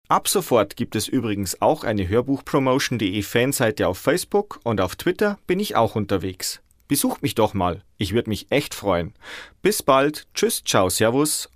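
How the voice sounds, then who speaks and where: A man's voice: mid-range voice, dry recording, studio quality. A man hosting a podcast, presenting scripted announcements to his listeners. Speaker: podcast host.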